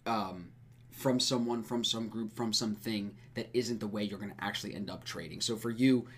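A man hosting a podcast, talking in a relaxed, friendly way with lots of casual filler words. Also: lowish voice, moderate pace, husky. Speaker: podcast host.

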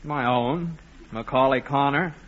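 An actor playing a diffident, dry magazine writer in a vintage radio play, hesitant and understated. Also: male voice, medium pitch, a little slow, a bit bright, natural voice. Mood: awkward, dry. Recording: muffled, faintly noisy old radio recording.

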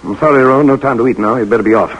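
A male actor as a weathered English mercenary with a husky voice. He speaks in clipped, dryly understated phrases, as in an old 1940s radio play. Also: medium pitch, rapid-fire, dull audio, natural voice. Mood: brisk, nonchalant. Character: wry, world-weary English soldier of fortune in exile. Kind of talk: 1940s radio drama acting.

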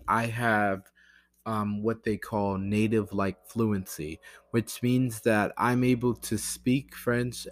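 An American man talking casually to camera in a rambling, halting way, often interrupting himself with "like" and "you know". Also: lowish voice, fairly bright tone, no echo. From United States. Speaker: language learner vlogger.

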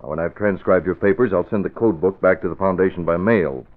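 A calm, authoritative man played by an actor explains things in a measured, businesslike way. His voice sits low, and the old radio drama recording is thin and boxed-in. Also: natural voice. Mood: reassuring, firm. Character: calm, authoritative male organizer of a secret plan. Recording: narrow vintage radio recording.

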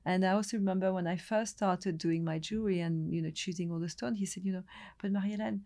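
A middle-aged woman from Lebanon talks through her stories in a loose, meandering way, full of fillers and restarts. Her voice sits low with a gravelly, creaky edge. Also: steady tone, fast talker, warm tone. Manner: hesitant, many restarts and fillers, chatty storytelling. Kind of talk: relaxed interview conversation.

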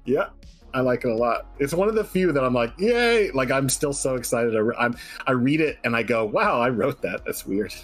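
A man's voice: mid-range voice, expressive, normal speed, faint music behind. A man talking casually about his own writing in a joking, self-deprecating way. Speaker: guest writer on a fiction stream.

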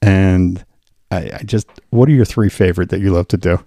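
An easygoing man co-hosting a casual podcast, with a low, gravelly and mellow voice recorded dry and close. He agrees readily and talks loosely, filling his sentences with little fillers. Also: steady tone, normal speed, dry recording. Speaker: podcast co-host. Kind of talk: casual chat.

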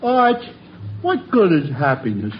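An actor playing a dim-witted, stubborn working-class pal, slangy, in old radio comedy style. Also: male voice, fairly high pitch, wildly swooping pitch, a little slow. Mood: dopey. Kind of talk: 1940s-50s radio comedy acting. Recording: noisy, muffled vintage radio broadcast.

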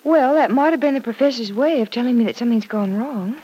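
Sensible young woman, slightly husky. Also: fairly high pitch, fast, dark tone, poor audio. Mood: worried. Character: sensible, mildly anxious woman of twenty-five. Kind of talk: 1940s-50s radio soap acting. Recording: old radio drama recording.

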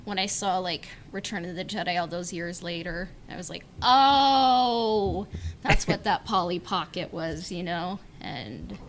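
A woman's voice: fairly low pitch, lively intonation, unhurried, a little hiss or hum. A woman hosting a podcast in a casual, chatty style. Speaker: podcast host. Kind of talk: casual chat.

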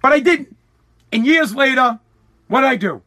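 A man's voice: very high-pitched, expressive, normal speed, bright, forceful tone. An American man hosting a podcast, delivering an opinionated solo rant in a combative, emphatic, repetitive and profane manner. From United States. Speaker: podcast host.

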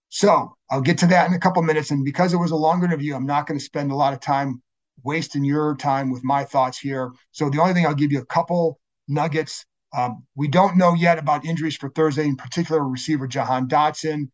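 A man with a scratchy voice hosting a sports podcast, talking casually and correcting himself as he goes. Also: fairly high pitch. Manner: conversational, self-correcting, many fillers. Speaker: podcast host. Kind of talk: solo sports podcast intro.

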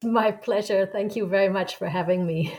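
A woman in her sixties, a professor being interviewed as a guest, telling her story in a reflective, unhurried way. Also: mid-range voice, fairly even intonation, darkish tone. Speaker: guest author, anthropology professor. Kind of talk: conversational interview answer.

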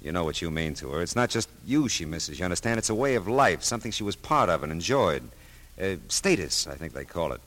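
An actor playing a wry, world-weary private detective who narrates and talks in a deadpan radio drama style. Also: male voice, low voice, brisk, heavily acted. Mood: dry, probing. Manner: deadpan, hesitant asides, dry wit.

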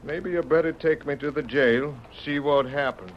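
A man's voice: a little high, moderate pace, soft background music, lightly acted. A man acting the part of a folksy, indignant drifting cowboy with a Western drawl in an old-time radio western. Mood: defensive. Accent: American Western drawl. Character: drifting cowboy camper, protesting his innocence, folksy and ornery. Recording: muffled, noisy old radio recording.

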